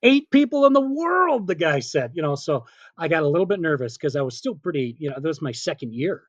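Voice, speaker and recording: A middle-aged man, a PA announcer being interviewed, telling casual anecdotes in an animated way and quoting remembered dialogue. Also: on the high side, a little fast, dry recording, dull audio. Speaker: stadium PA announcer, interview guest.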